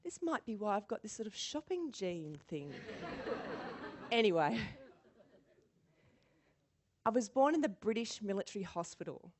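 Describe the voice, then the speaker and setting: Animated female keynote speaker. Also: medium pitch, unhurried, long pauses, a little hiss or hum. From born in Singapore. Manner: animated storyteller, acts out quotes, laughs often. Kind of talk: motivational keynote speech.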